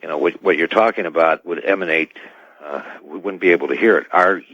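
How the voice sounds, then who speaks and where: A man's voice: sits low, fairly quick. A man as a radio interview guest, giving cautious, formally worded technical explanations over dull, band-limited audio. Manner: cautious, formal, hedging wording.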